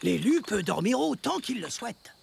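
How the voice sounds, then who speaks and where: A man playing a solemn, commanding village elder in a cartoon, declaiming ceremonially in a gravelly voice. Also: sits high, very animated, normal speed, very noisy, heavily acted. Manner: pompous, ceremonial. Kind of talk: French cartoon dubbing, declamatory. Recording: loud music under the voice.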